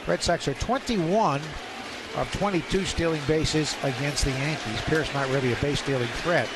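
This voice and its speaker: Male radio baseball play-by-play announcer, higher-pitched, clipped delivery, live broadcast with heavy background noise. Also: moderate pace. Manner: clipped phrases, rising to excited calls on big plays. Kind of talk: live radio sports commentary. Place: ballpark broadcast booth.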